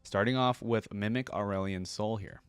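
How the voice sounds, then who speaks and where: A man's voice: lowish voice, moderate pace, clean tone, faint music behind. A man hosting a reaction video, giving informal, chatty, easily amused commentary.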